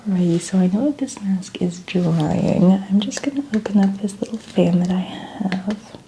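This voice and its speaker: A woman in a soft-spoken ASMR skincare roleplay, gently narrating each step in a breathy, often whispered voice. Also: mid-range voice, normal speed, rough recording. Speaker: ASMR host playing a skincare practitioner. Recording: noticeable ambient background noise.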